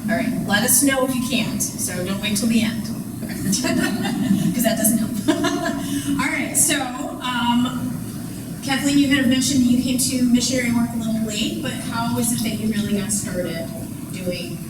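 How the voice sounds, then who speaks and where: A woman's voice: on the high side, moderate pace. A woman moderating a museum panel, friendly and loose, with fillers. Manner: friendly, with fillers and small laughs. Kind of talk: hosting a public panel talk. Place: museum event hall. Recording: echoey hall from far off, loud noise.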